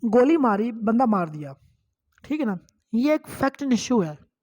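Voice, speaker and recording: A man's voice: very high-pitched, big pitch swings, takes it slowly, a little mellow. A man with a Pakistani accent giving an informal law lecture, switching between Urdu and English as he reads out and explains with examples, repeating phrases.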